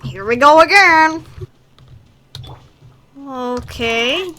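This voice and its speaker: A woman's voice: high voice, lively intonation, drawn-out words, sharp tone. A woman giving casual gameplay commentary, muttering exasperated asides to herself as she plays. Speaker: gaming video host.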